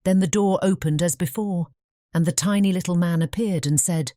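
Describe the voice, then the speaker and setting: A woman's voice: on the low side, normal speed, mellow, no room sound, studio quality. A woman with a British English accent reading scripted fairy tale narration as an audiobook narrator.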